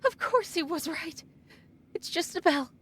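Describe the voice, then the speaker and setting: A woman acts out a grieving mother in a vintage radio melodrama. Her voice sits high and moves slowly, pleading with desperate, emphatic feeling as she clings to hope. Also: expressive. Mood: shaken relief. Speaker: actor in an audio drama. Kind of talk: old-time radio drama acting.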